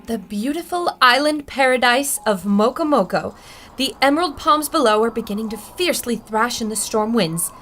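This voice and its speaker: A woman's voice: fairly high pitch, expressive, moderate pace, over music, close to an everyday voice. An actress playing a serious, determined woman reporter, dramatic and earnest, in a retro adventure radio drama.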